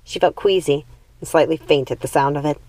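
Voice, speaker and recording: A woman's voice: deep voice, a little fast, darkish tone, no room sound. A woman reading a story aloud as an audiobook narrator, in scripted narration. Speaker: audiobook reader.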